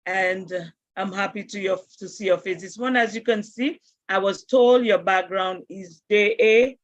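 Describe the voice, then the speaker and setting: A woman's voice: mid-range voice, normal speed. A woman hosting an online interview and greeting her guest and audience over a video call. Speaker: interview host. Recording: dull dry video-call audio.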